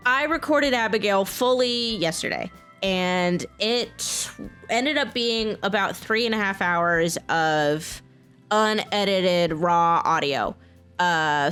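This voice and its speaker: Woman, casual vlog talk, informal, halting delivery, self-interrupting, average pitch, music in the background. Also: fairly bright tone. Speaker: voice actor, software engineer and game modder. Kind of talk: casual chatty vlog announcement.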